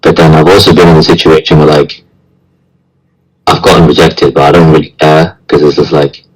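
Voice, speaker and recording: A man's voice: rumbling low, little pitch movement, fairly quick, husky, rough recording. A young man, chatting casually as a guest, hesitant and rambling with lots of slang. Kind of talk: casual chat.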